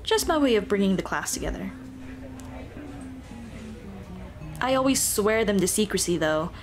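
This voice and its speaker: A woman playing a shy, earnest Dullahan schoolteacher in an ASMR roleplay, speaking softly and expressively. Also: medium pitch, lively intonation, fast talker, music in the background, close to an everyday voice. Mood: playful, proud. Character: shy, earnest female Dullahan teacher, headless monster girl on a date.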